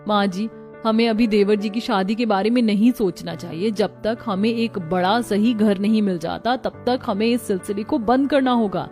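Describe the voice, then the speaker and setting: A woman's voice: medium pitch, moderate pace, a little mellow, soft background music, close to an everyday voice. A woman playing a put-upon young daughter-in-law in a cartoon story, complaining in an earnest, fretful way.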